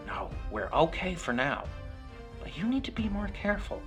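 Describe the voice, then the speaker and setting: A man acts out a living puppet from a horror tale, secretive and persuasive. The voice swings expressively in pitch, insisting ominously that it is a protector, with music clearly playing behind it. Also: sing-song, normal speed. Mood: urgent, cautioning. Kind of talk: horror storytelling character voice.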